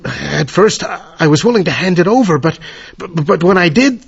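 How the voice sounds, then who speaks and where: An actor playing an irascible, cornered professor with a guilty secret in an old-time radio mystery, theatrical and imperious. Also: male voice, sits high, lively intonation, normal speed, vocal fry, breathy edge, full character voice. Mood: grim, confessing. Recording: muffled radio drama recording.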